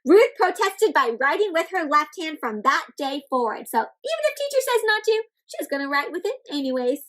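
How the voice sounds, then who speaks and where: A woman reading a picture book aloud to children, interactive and expressive, stressing words and turning to young listeners with simple questions and explanations. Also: high voice, fairly quick. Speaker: children's read-aloud host.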